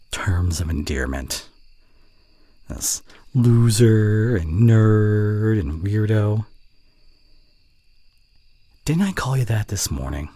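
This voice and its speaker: A young man playing a teasing, fond college student and voice actor in an intimate ASMR roleplay, with a breathy voice that often drops toward a whisper and halting, playful delivery. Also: lowish voice, mellow, dry recording, full character voice. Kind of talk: scripted ASMR roleplay addressed to the listener.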